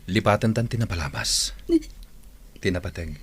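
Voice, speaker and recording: A man's voice: low voice, moderate pace, a bit bright, slightly rough, dry recording, heavily acted. An actor playing an honest, hardworking, forgiving male office worker in a radio drama. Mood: hurt, forgiving. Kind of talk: Filipino radio drama acting in Ilocano.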